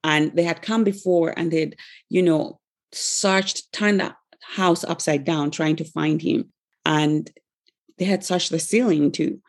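A woman from Uganda telling her personal story as a podcast guest, measured and with fillers. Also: low-pitched. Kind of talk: personal testimony.

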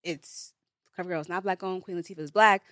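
A woman's voice: lowish voice, fairly even intonation, fast talker, fairly bright tone, no echo. A young woman from Nigeria whose first language is Igbo, chatting casually as a guest on a studio podcast, full of "like" and "um" fillers. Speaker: guest, beauty brand founder. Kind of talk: casual interview. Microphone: studio mic.

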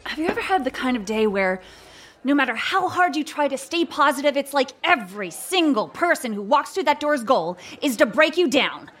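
Brash, world-weary barfly for audio drama: female, high voice, very animated, foul-mouthed. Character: hot-tempered, hard-drinking, worn-down tentacled woman bar regular.

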